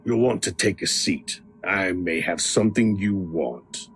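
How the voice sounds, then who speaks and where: An actor playing a sly chained prisoner in an audio drama, a gravelly tempter who bargains in a taunting, persuasive way. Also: male voice, low-pitched, a little slow, soft background music. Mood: smug, calculating.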